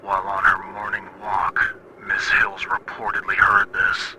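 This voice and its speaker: Casting: male actor as cold military commander, lowish voice, slow and condescending, heavy radio noise, horror animation. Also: monotone. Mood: stern. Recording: very noisy radio transmission.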